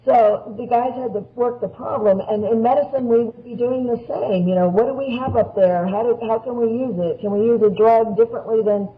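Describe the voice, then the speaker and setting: A woman's voice: fairly high pitch, brisk, few pauses. A woman speaking as a guest expert, explaining practical matters in a plain-spoken, conversational way. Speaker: nurse, podcast guest. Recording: dull lo-fi podcast audio.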